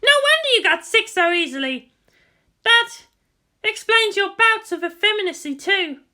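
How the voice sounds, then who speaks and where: Fiery teen girl pilot: piercingly sharp, very high, blunt and indignant, for dramatic fan fiction. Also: expressive, moderate pace, exaggerated voice. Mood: incredulous. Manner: shrill, blunt, accusatory.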